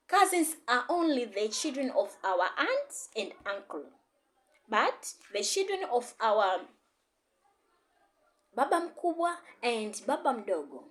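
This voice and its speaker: A woman, a language teacher giving an encouraging online lesson and often repeating herself. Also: fairly high pitch. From Tanzania. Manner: repetitive, encouraging, code-switching teacher. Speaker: Swahili and English teacher.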